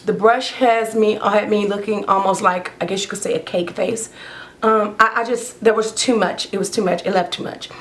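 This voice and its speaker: Chatty hoarse woman doing her makeup. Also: medium pitch, fairly even intonation, moderate pace, a bit bright. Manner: chatty, rambling, talks while doing makeup. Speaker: beauty vlogger. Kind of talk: casual get-ready-with-me chat.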